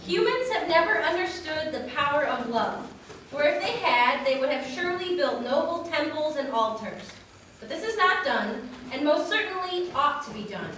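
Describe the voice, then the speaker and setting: A woman's voice: high voice, expressive, moderate pace, fairly bright tone. A woman giving a theatrical recitation of a formal speech as a reader at a wedding. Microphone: distant from speaker. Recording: echoey room, distant mic, faint noise.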